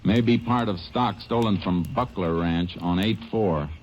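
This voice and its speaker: An actor playing a steady, methodical Texas Ranger detective in an old radio drama, speaking calm and low. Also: male voice, unhurried, slight background noise. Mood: level-headed. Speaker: lead actor. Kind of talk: 1950s radio drama acting. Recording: muffled old radio recording.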